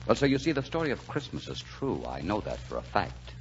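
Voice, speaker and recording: An actor playing a polite, earnest, mysterious club waiter in a 1940s radio drama, speaking deliberately. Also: male voice, lowish voice, wildly swooping pitch. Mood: earnest storytelling. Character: mysterious, courteous male club waiter, quietly devout. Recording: muffled, noisy 1940s radio recording.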